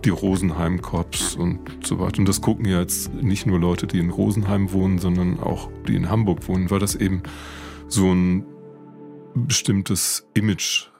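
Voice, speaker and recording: A man with a calm, sonorous voice, explaining as an academic expert in an interview. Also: low voice, moderate pace, vocal fry, faint music behind. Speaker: literature professor and crime fiction expert interviewed.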